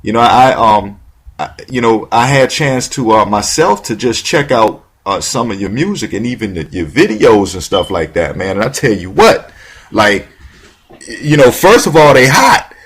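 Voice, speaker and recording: A man hosts a talk show, his voice husky and a little rough. He rambles in a loose, slangy way, circling back and restarting his questions as he goes. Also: on the low side, big pitch swings, moderate pace, fairly bright tone. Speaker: radio show host. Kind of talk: casual talk show interview.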